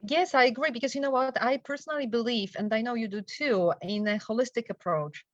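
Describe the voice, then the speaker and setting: A woman's voice: on the high side, brisk, no echo. A middle-aged woman from Poland with a Polish accent, a guest telling her story in a chatty way, laughing often and checking in with "right?". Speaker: guest, travel wellness coach. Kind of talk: casual interview chat. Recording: dull, dry lo-fi sound.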